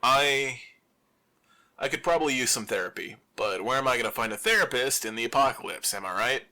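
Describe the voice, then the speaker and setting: An actor playing an anxious, overthinking zombie apocalypse survivor who broadcasts alone, rambling and self-deprecating in a halting confessional radio monologue. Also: male voice, mid-range voice, lively intonation, bright, forceful tone. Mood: troubled, reflective.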